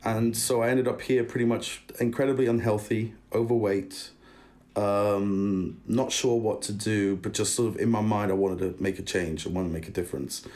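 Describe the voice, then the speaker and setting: A man's voice: on the low side, fairly even intonation, normal speed, a little mellow. A young man co-hosting a podcast, telling his story casually and a little hesitantly. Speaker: podcast co-host.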